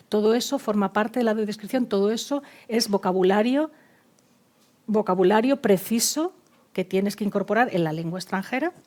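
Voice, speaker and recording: A woman speaking on an expert panel, with a soft and mellow tone. Her speech is halting and searching, full of fillers and restarted phrases. She sounds like she is working out her points as she talks. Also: medium pitch. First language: not Spanish. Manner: hesitant, many fillers and restarts. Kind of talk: panel discussion.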